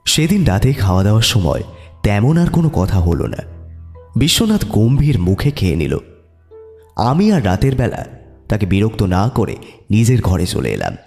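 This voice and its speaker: A man playing a detective's curious, eager companion who also narrates the audio mystery, with a husky voice. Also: lowish voice, wildly swooping pitch, normal speed, long pauses, a little mellow, heavily acted. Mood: storytelling. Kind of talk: audio story narration and dramatic dialogue. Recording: music behind the voice.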